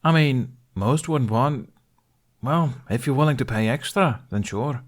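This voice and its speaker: A man's voice: mid-range voice, unhurried, voice pushed up, close to an everyday voice. A man voicing a brusque, wary village widow in an audiobook, with curt, folksy, no-nonsense phrasing. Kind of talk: audiobook character voice.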